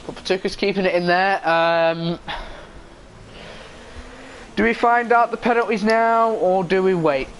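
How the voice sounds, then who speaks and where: A young man calls an online race in a loose, casual way, his voice hoarse and fading as he keeps clearing his throat. His voice sits a little high for a man. A faint hiss runs under the recording. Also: a little slow, long pauses. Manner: casual, frequent throat clearing and filler ums. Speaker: race commentator. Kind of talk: esports race commentary.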